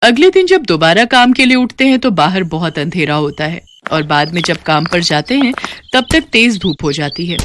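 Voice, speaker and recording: A woman's voice: lowish voice, very animated, moderate pace, noisy background. A woman reading scripted narration for a children's moral story.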